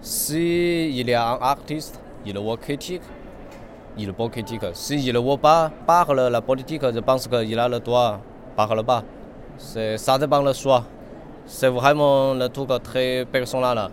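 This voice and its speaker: Young chinese-accented guy, slow halting answers, lots of uh, faint street noise behind. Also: mid-range voice. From China. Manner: halting non-native French, frequent pauses and fillers. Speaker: artist. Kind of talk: interview answers.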